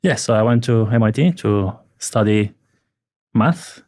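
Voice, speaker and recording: A man in his forties from Bulgaria, chatting on a podcast as a guest and telling his story in a relaxed, wry way. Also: fairly low pitch, a little slow, no echo, studio quality. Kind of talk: casual podcast chat.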